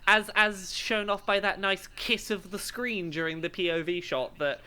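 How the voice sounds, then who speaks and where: A woman's voice: mid-range voice, normal speed, bright, forceful tone, clean tone. A woman co-hosting a podcast, chatting casually in an emphatic, amused way as she gives commentary. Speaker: podcast co-host.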